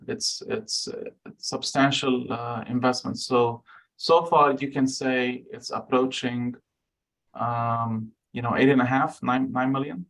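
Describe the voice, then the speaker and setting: A man's voice: medium pitch, a bit bright, rough recording. A man from Lebanon answering audience questions as a guest researcher, speaking frankly with frequent fillers and restarts.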